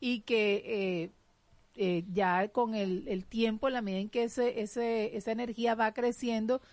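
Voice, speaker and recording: A woman with a slightly high voice co-hosts a conversation about spirituality. She agrees often and raises follow-up points, hesitating and restarting as she thinks. The recording is dry and close. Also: steady tone, unhurried, darkish tone, no echo. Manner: affirming, interjects with agreement and follow-up questions. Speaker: co-host. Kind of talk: conversational talk show.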